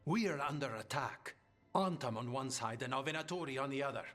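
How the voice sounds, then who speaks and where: An actor playing a stern, urgent faction leader in a video game cutscene. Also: male voice, mid-range voice, lively intonation, a little fast, fairly bright tone, soft background music, natural voice.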